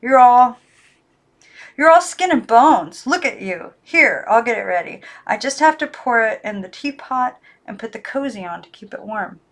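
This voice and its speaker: A woman voicing a frightened young girl who coaxes, then desperately shouts at her dolls. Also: a little high, animated, moderate pace, voice pushed up. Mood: coaxing. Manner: coaxing, then frantic and shouting.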